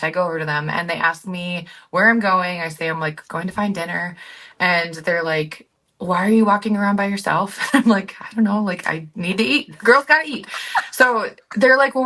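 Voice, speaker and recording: Bright, forceful American woman swapping stories. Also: on the low side. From United States. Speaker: guest, group travel company founder. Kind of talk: casual conversational storytelling.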